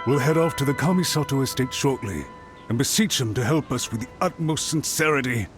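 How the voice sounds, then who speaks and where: A man's voice: mid-range voice, a little fast, close to an everyday voice. An actor playing an anxious, apologetic male official who speaks with deference and pleads for a second chance, in a studio game. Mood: pleading. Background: music.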